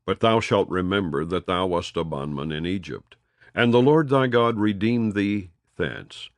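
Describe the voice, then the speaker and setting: Deep guy reading scripture aloud, slow solemn cadence, voice rises and falls a lot, dry close sound. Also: lively intonation, no echo. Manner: measured, solemn cadence with phrase-final drops. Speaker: audio Bible reader.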